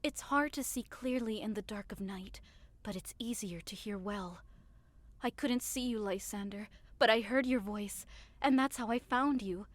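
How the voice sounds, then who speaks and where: A woman's voice: mid-range voice, normal speed, lightly acted. A woman playing a bewildered, abandoned young woman in love in a modern-English Shakespeare audio drama, plaintive and disbelieving.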